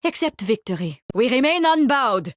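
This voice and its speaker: A woman's voice: high voice, big pitch swings, normal speed, dry recording, clean studio sound. A woman playing an eccentric, haughty mycologist with a French accent, theatrical and gleefully morbid, in video game voice lines. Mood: haughty, resolute. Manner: theatrical, savoring, condescending.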